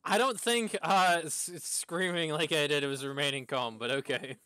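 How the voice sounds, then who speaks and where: A man's voice: a little high, moderate pace, pushed and bright, smooth. A man streaming a game with casual live commentary, exclaiming when things go wrong. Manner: reads game lines aloud, exclaims at setbacks.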